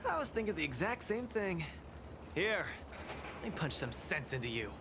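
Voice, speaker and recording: An actor playing a confident, good-natured young fighter in an anime-style game, with a cocky, teasing manner. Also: male voice, sits high, big pitch swings, normal speed, very noisy. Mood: eager.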